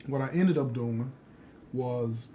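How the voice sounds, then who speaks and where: Mellow-voiced man, halting reflective storytelling. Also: medium pitch, slight background noise. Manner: halting, deliberate phrasing with pauses. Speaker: prisoner telling his own story. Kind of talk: personal testimony, reflective storytelling.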